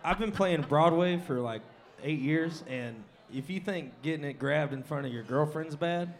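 A man's voice: a little high, moderate pace. A man, a country singer-songwriter, trading banter at a live venue show in a twangy, drawling way.

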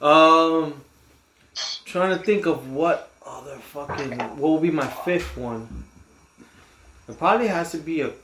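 A man's voice: a little high, measured pace, long pauses, a bit bright, reverberant. A man co-hosting a casual podcast chat, laid-back and searching for words, laughing easily. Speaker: podcast co-host. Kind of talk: casual chat over drinks.

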